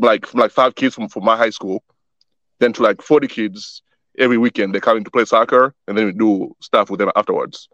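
A man's voice: medium pitch, no room sound, lo-fi sound. A young man who was born in Wisconsin and grew up in Tanzania, a guest chatting casually about the youth soccer group he started, often repeating words as he thinks. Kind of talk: casual interview chat.

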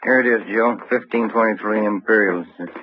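A man's voice: on the low side, moderate pace, slight background noise, close to an everyday voice. A man playing a plain-spoken, businesslike police detective in a vintage radio drama, short and direct. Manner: direct, clipped. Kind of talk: 1950s radio drama acting. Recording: muffled vintage radio recording.

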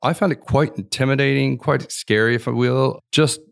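A man's voice: medium pitch, fairly even intonation, moderate pace, gravelly. A man being interviewed about his consulting career, answering in a reflective, rambling way with many restarts. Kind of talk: conversational podcast interview.

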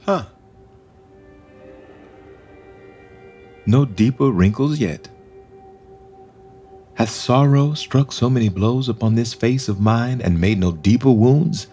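Actor as slow, anguished deposed English king. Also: medium pitch, warm tone, faint music behind, close to an everyday voice. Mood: despairing, mournful. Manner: declamatory, mournful, deliberate. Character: deposed English king, anguished, self-dramatizing, bitterly proud. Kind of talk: Shakespearean verse radio play acting.

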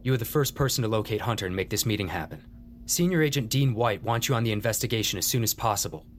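An actor playing a polite, brisk male aide relaying orders in an audio drama. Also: on the low side, lively intonation, slight background noise, natural voice.

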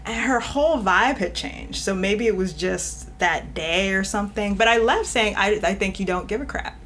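Direct woman from New York, guest on a casual podcast, quick laughs, light hiss behind. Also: medium pitch, fairly bright tone. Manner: direct, laughs often while talking. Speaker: guest editor.

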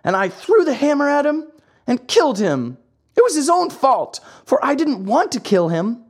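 A narrator voicing a whiny, excitable wooden puppet boy in a children's storybook, fussy and full of complaint. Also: sits high, moderate pace, voice pushed up. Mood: agitated, rambling. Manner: wailing, breathless childlike complaining. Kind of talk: children's storybook character acting.

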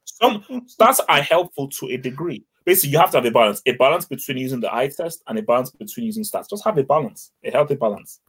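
A man from Nigeria with a Nigerian accent, co-hosting a casual football chat, blunt and animated, often repeating words as he gets going. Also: mid-range voice, fast, few pauses, slightly rough, low-quality recording. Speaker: football podcast co-host.

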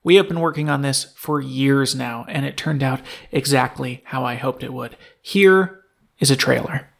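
Enthusiastic man with a creaky voice. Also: medium pitch, moderate pace. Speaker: podcast co-creator and presenter. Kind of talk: casual podcast announcement.

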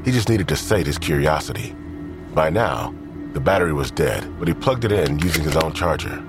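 A man's voice: a bass voice, animated, a little fast. A man narrating an audio drama crime mystery from a script. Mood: measured. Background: music.

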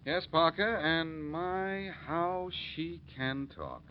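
An American actor playing a confident, needling amateur detective with an emphatic delivery in a 1940s radio drama. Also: male voice, a little high, slow pace. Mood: accusing, triumphant. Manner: emphatic, punchy, accusing delivery. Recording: muffled, noisy 1940s radio recording.

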